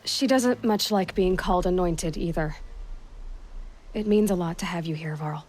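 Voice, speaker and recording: A woman's voice: lowish voice, normal speed, a little mellow, natural voice. A woman playing a plainspoken, guarded but kind young warrior in a video game, with a direct, understated manner. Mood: dry, warm. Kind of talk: video game cutscene acting.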